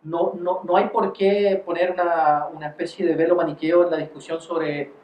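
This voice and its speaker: A man moderating an academic discussion, putting long scholarly questions haltingly with repeated words, in a roomy, dull recording with faint noise. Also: on the high side, little pitch movement.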